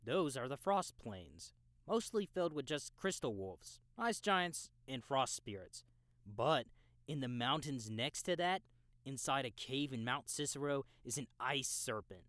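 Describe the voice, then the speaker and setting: Man playing a casual, animated veteran gamer guide. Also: mid-range voice, measured pace, no room sound, clean studio sound. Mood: knowing, explanatory. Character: experienced, easygoing young male player guiding a newbie. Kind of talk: audiobook character acting, explanatory dialogue.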